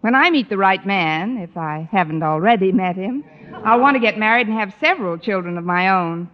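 An actress playing a wry, quick-witted schoolteacher with crisp, dry delivery in a mid-century radio sitcom. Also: female voice, mid-range voice, lightly acted. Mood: friendly. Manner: dry, deadpan wisecracks, crisp diction. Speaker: English teacher character in a radio comedy. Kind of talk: 1940s-50s radio sitcom acting. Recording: muffled, noisy old radio recording.

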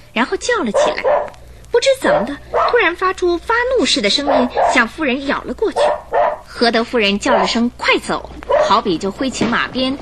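A woman narrating a radio drama in an expressive, dramatic storytelling style. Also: on the high side, heavy background noise. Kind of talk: scripted Mandarin storytelling narration. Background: music.

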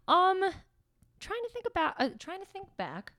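A woman who grew up in Staten Island and Pennsylvania, co-hosting a casual chat podcast and telling animated, playful stories. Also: extremely high pitch, moderate pace, no room sound, clean studio sound. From Staten Island, New York, then Pennsylvania. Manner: animated storytelling with playful bits. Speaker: podcast co-host.

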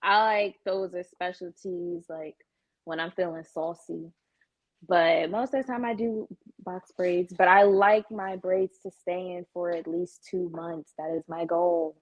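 A woman's voice: fairly low pitch, fairly even intonation, a little slow, lo-fi sound. A woman guest chatting casually as a salon client, with a blunt, candid, slangy manner. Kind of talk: casual chat.

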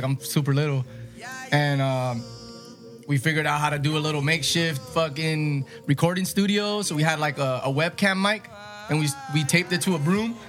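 Young man from Imperial Valley, laid-back, loud, slightly high voice, faint music behind. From Imperial Valley, California. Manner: laid-back, lots of "like" and cussing, laughs easily. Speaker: guest rapper. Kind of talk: casual interview.

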